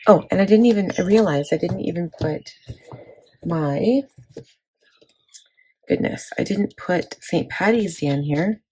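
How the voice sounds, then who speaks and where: A woman in her thirties, hoarse from laryngitis, chatting casually about her planner with many fillers. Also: fairly low pitch, a little slow, pauses often, dark tone, a little hiss or hum, rough recording. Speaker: planning vlogger. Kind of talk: casual chat walking through a planner.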